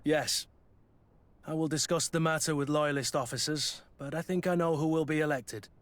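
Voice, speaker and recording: A man as a blunt, higher-voiced mercenary soldier. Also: steady tone, moderate pace, natural voice. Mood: earnest. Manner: plain-spoken, wry. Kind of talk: video game cutscene acting.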